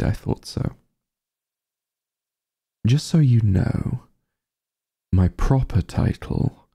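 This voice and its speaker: Evil sorcerer king , male actor, ASMR roleplay: haughty, imperious, slow and gravelly. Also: deep voice, warm tone, exaggerated voice. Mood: offended, imperious. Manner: pompous, condescending, deliberate formal diction.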